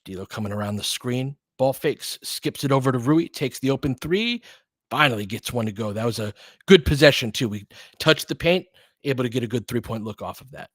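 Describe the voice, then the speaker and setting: A man's voice: medium pitch, expressive, fairly quick, a bit bright, dry recording. A man giving informal live basketball play-by-play with bits of analysis, in clipped, slangy, conversational phrases as a partisan fan. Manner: partisan fan of one team.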